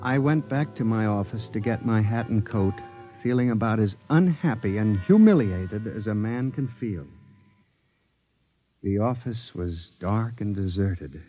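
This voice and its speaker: A man's voice: on the low side, expressive, unhurried, soft background music. An actor playing an anxious male statistician who narrates and grows frightened, in a 1950s radio drama recording. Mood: uneasy. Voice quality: earnest, increasingly panicked. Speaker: narrator and lead character. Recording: dull old 1950s radio broadcast.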